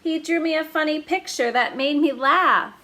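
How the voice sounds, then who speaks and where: A woman's voice: high voice, moderate pace, bright, forceful tone, pitched above the performer's own voice. A woman voicing a young boy with hurt feelings, talking in an earnest, childlike way.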